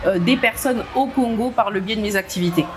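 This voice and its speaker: A woman's voice: mid-range voice, fairly quick. A young woman who grew up in France, answering interview questions earnestly, with many hesitations and light laughs. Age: young adult. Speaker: entrepreneur guest. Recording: steady background noise.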